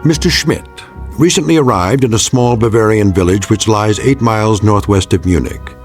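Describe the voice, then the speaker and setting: A man playing a grave, wry narrator of eerie tales, measured and ironic, in scripted radio drama style. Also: lowish voice, faint music behind, lightly acted. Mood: wry, portentous. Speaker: narrator host.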